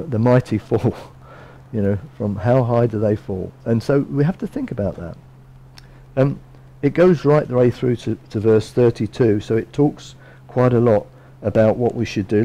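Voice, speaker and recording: A middle-aged man giving a sermon from a lectern in a small bright room, earnestly explaining and reading scripture verses aloud. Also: mid-range voice, poor audio. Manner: measured, earnest, reads verses aloud from a book. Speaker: preacher.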